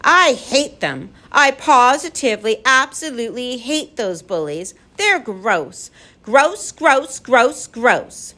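A woman's voice: mid-range voice, animated, moderate pace, few pauses, a bit bright, lightly acted. A woman voicing an outspoken little girl in a storybook, scolding emphatically and indignantly in a childish way. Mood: disgusted.

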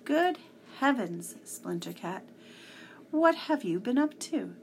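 A woman voicing a tall, smooth male henchman in a storybook, breezy and mock-polite with casual menace. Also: a little high, sing-song, slow pace, a little hiss or hum, pitched above the performer's own voice, full character voice. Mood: amused.

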